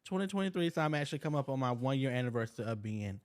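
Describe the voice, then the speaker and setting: A man's voice: mid-range voice, lively intonation, very fast. A man in his mid twenties talking as a guest in a casual interview, blunt and rambling. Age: 20s. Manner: laid-back, blunt, rambling. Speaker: podcast guest.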